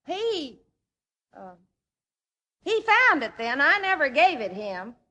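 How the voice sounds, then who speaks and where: An actress playing a ranch wife who pleads theatrically as Desdemona in a melodramatic old-time radio play. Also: female voice, sits high, very animated, slow pace, sharp tone, exaggerated voice. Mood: pleading. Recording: muffled vintage radio recording.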